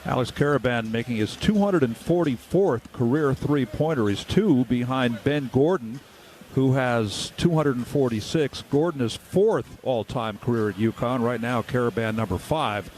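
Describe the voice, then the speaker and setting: Mid-pitched man giving basketball color commentary. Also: normal speed, darkish tone, a little hiss or hum. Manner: explanatory, stat-focused. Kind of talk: live radio-style sports analysis.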